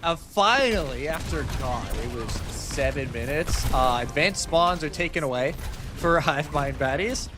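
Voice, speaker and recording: A man's voice: a little high, lively intonation, a little slow, over music. A man giving live commentary on a video game match as an esports caster, speaking colloquially and emphatically.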